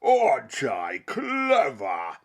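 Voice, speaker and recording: A man voicing a huge, greedy, boastful monster in a picture-book story, roaring and bellowing with drawn-out words. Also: medium pitch, wildly swooping pitch, voice pushed up, heavily acted. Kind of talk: theatrical picture-book character voice.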